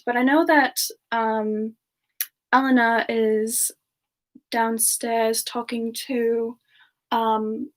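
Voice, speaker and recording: A gentle young siren demon, played by a woman chats with livestream viewers in a semi-ASMR style. Her voice is fairly high and very unhurried. She is soft-spoken, polite and a little hesitant. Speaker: livestream host in character. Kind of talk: semi-ASMR in-character live Q&A chat.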